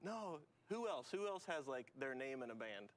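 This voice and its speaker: A man's voice: mid-range voice, sing-song, moderate pace. A man co-hosting a morning encouragement show, chatting casually and riffing on jokes with his partner. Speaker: co-host. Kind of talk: casual chat.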